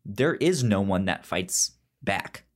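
A podcast co-host chatting casually about films and comics, halting and often stammering over words. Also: low-pitched, animated. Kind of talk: casual film and comic discussion.

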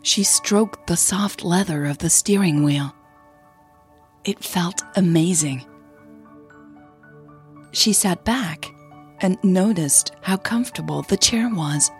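A woman podcast host narrating an audio drama in a soft, unhurried, breathy way. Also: on the low side, faint music behind. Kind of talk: audio drama storytelling with informal podcast intro.

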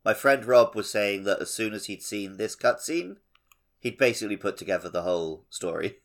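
Male podcast co-host with a fairly low voice, informal and explanatory game chat. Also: lively intonation, moderate pace, a bit bright. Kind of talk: casual video game discussion.

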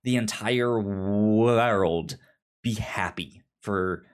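A man chatting casually as a podcast co-host, retelling a story in a halting way and often repeating the starts of words. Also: on the low side, clean tone. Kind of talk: casual chat, retelling a TV show episode.

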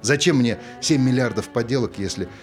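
An actor playing a calm, earnest man who speaks softly and persuasively. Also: medium pitch, brisk, music in the background, lightly acted. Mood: tender. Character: calm, earnest man, later a taciturn father. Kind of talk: stage play acting.

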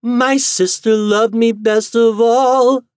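A man voicing an enchanted storybook bird, eerie and mournful yet proud, in slow, drawn-out singsong verse. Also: on the high side, huge pitch swings, darkish tone, breathy edge, no room sound, clean studio sound, voice pushed up, exaggerated voice.

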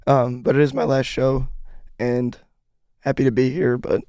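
Young male radio co-host. Scratchy, slightly hoarse, flat intonation, dry close sound. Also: mid-range voice, unhurried, no echo. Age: young adult. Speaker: radio sports show co-host. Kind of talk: casual chat.

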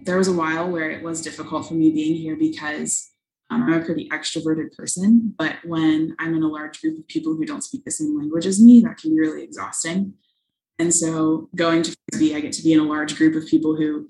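Young woman, low voice, thoughtful, earnest, echoey room. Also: gravelly, darkish tone. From United States. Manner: earnest, thoughtful, with frequent ums. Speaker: missionary. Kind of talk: podcast interview answers.

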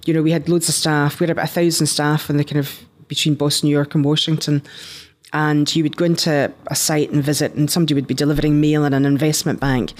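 A woman's voice: deep voice, level tone, quick pace. A woman in her fifties with a Scottish accent, telling her career story conversationally as an interview guest. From Scotland. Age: 50s. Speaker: interview guest, business founder.

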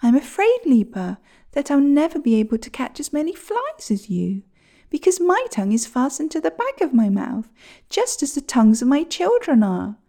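A woman's voice: sing-song, moderate pace, dark tone. A woman voicing a small, polite mother sparrow in a storybook reading. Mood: fretful. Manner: high, fretful and polite.

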